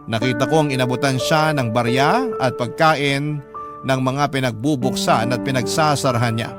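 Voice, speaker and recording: A man's voice: mid-range voice, moderate pace. A man reading a scripted first-person story as the storyteller of a radio drama. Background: prominent music.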